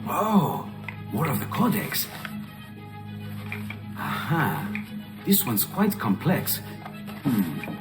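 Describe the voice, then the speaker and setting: A man voicing a cheerful, curious Renaissance inventor and eager friend in a video game, with an Italian accent and an animated, exclamatory manner. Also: mid-range voice, extremely slow, long pauses, exaggerated voice. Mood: fascinated. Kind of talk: dramatic video game acting. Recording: long reverb, music behind. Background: music.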